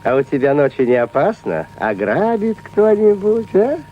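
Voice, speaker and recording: Wily, lively cartoon trickster. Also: male voice, on the high side, normal speed. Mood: feigned innocence. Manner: courteous, flattering, playful. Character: wily, genial folk trickster playing the innocent traveller. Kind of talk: animated film voice acting. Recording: muffled old film soundtrack, faint music.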